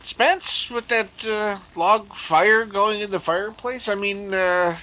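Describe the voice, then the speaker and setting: A man's voice: high-pitched, slow pace, bright, forceful tone, exaggerated voice. A man playing a slangy, jumpy detective's sidekick who blusters and hesitates in a 1930s radio drama. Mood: uneasy. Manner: colloquial, hesitant, stammering bluster. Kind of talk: 1930s radio comic-relief acting.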